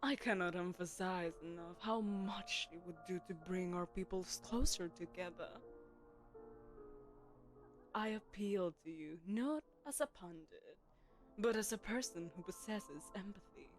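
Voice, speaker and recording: A woman's voice: on the low side, measured pace, soft background music, natural voice. A woman voicing a poised, commanding telepathic queen who hosts a lavish gala, speaking to the listener in a silken, condescending, persuasive way. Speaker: voice actress. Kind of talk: audio roleplay, spoken to the listener.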